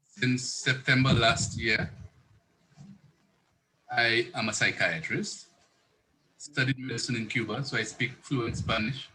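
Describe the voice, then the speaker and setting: Male psychiatrist in his thirties, bright voice, careful and self-correcting. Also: mid-range voice, fairly even intonation, unhurried, pauses often, rough recording. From Saint Lucia. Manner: earnest, self-correcting, rephrases as he goes. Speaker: psychiatrist guest. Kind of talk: explanatory interview talk.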